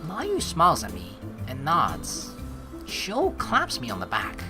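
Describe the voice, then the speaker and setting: Casting: young female let's player, lower-pitched, hugely varied intonation, playful read-aloud and chatter. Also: slow pace. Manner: playful, expressive. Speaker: let's play host. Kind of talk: reading game text aloud with casual commentary. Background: game music.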